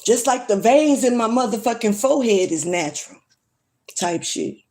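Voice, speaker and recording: A woman's voice: fairly high pitch, expressive, normal speed, darkish tone. A woman, ranting bluntly and swearing freely in a casual livestream. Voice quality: strong voice. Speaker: livestream vlogger. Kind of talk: casual rant to camera.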